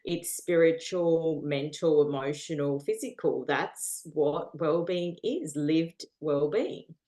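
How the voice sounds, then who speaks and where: A woman's voice: low voice, slow, dark tone. An Australian woman, a guest in a casual interview, talking earnestly with lots of fillers and frequent laughter.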